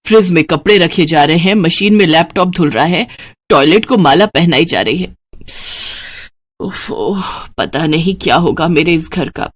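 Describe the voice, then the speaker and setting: Woman acting a lower-voiced, exasperated older mother-in-law. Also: expressive, moderate pace. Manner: weary, scolding. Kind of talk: animated moral story character voice.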